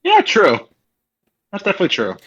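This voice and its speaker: A man's voice: high-pitched, huge pitch swings, a little fast, a bit bright, slightly rough, dull audio. A man chiming in casually on a gaming podcast.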